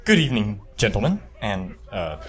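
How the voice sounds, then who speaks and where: Actor as earnest, lively-voiced expedition man. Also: lowish voice, moderate pace. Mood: polite, worried. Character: earnest, polite male of an 1870s expedition. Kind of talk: audio drama acting.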